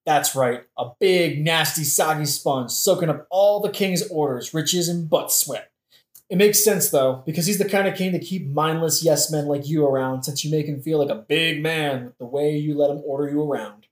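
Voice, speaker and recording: A man's voice: a little high, normal speed, close to an everyday voice. Man playing a scornful, insolent prince, echoey. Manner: mocking, profane, sardonic. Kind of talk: satirical audio play acting. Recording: echoey room.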